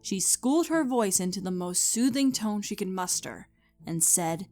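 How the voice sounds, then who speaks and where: A woman narrator reads a dramatic story from a script. Her pitch is average for a woman, and music plays softly underneath. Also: animated, normal speed.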